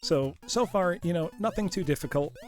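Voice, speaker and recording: A man's voice: high-pitched, normal speed. A man streaming a retro game live, chatting casually with viewers he calls his class; his voice is congested and tired. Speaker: streamer playing retro games. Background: game music.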